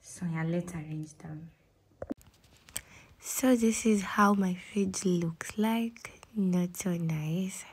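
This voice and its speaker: Croaky, soft-spoken woman vlogger. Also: on the low side, slow pace, slight background noise. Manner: soft, half-whispered, many "like" fillers. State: croaky from just waking up. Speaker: lifestyle vlogger. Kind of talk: casual vlog voice-over.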